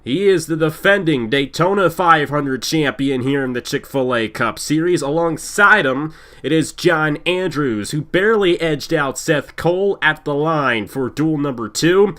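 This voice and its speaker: A man with a slightly higher, bright and forceful voice calls a sim racing event with announcer energy. He sounds congested from a cold, and his delivery rises and falls in a sing-song way. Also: measured pace, hardly any pauses. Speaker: play-by-play commentator. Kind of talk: sim racing broadcast commentary.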